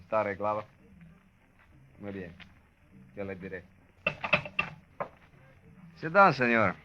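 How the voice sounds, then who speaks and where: An actor playing a taunting, mockingly courteous Cuban go-between with a Spanish accent in a radio crime drama. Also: male voice, on the low side, lively intonation, measured pace, noisy background. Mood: hushed, businesslike. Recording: muffled old radio recording.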